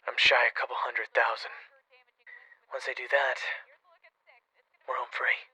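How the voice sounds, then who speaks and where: A man playing an anxious, pleading ex-criminal father in an audio roleplay, speaking haltingly with sighs. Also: mid-range voice, flat delivery, bright, forceful tone, slightly rough, no echo, heavily acted, rough recording. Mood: uneasy. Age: adult father of young children. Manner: hesitant, sighing, halting. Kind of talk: audio roleplay acting, phone conversation.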